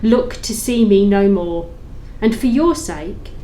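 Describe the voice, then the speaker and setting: Slow, mournful ghost in chains, acted by a woman. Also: medium pitch, animated, warm tone, slight background noise, low-quality recording. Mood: grave warning. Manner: slow, solemn, wailing laments. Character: mournful, tormented ghost of a dead business partner in chains. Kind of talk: library audio play acting.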